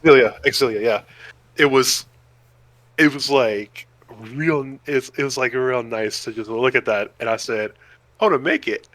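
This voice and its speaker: A man's voice: mid-range voice, a little slow, fairly bright tone, no echo, low-quality recording. A man chatting casually and enthusiastically as a podcast co-host. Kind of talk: casual chat about food.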